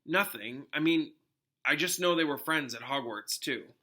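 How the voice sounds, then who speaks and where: An earnest teenage boy wizard from a storybook, acted out by a man. The voice is very sharp and forceful and sits fairly high. He is halting and shaken, yet determined. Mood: hesitant, curious. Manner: halting, stammering when upset.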